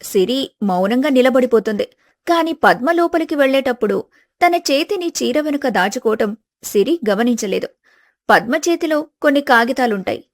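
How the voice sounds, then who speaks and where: A woman's voice: sits high, no room sound, studio quality. A woman narrating a scripted family story as a storyteller.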